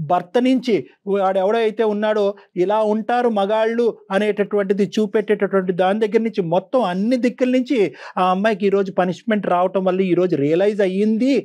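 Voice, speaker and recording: A man's voice: high voice, a little mellow. A middle-aged man speaking as a counsellor on a family dispute show, explaining at length and mixing in English words. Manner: measured, explanatory, mixes English words into advice.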